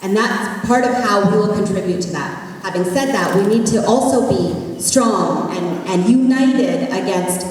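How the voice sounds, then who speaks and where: A woman's voice: mid-range voice, unhurried, few pauses, a little mellow. A woman, an election candidate, answering firmly and emphatically at a debate. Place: school gymnasium. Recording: echoey gym miked from far.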